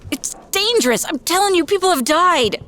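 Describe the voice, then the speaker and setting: A woman's voice: very high voice, animated, moderate pace, fairly bright tone. A woman playing an anxious, secretive young woman in an audio drama, hesitant and earnest. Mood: frightened, urgent.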